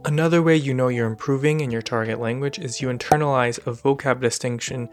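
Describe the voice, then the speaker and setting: A young man presents a scripted explainer with casual asides and a self-deprecating touch. His pitch sits in the middle of the male range. Faint music plays behind his voice. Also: steady tone, quick pace. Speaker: language-learning video host.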